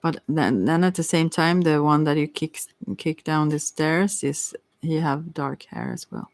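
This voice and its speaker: Slow, creaky ASMR tarot reader. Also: medium pitch, big pitch swings, no echo. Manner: hesitant, searching for words, with long thoughtful pauses. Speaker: tarot reader hosting a live session. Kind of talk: ASMR, improvised card reading.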